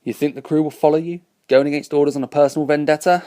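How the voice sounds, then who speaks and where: A man reading aloud who voices a poised, persuasive queen who has come back from the dead, speaking calmly and with composure. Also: low voice, quick pace, no room sound, studio quality, pitched above the performer's own voice, close to an everyday voice. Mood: doubtful.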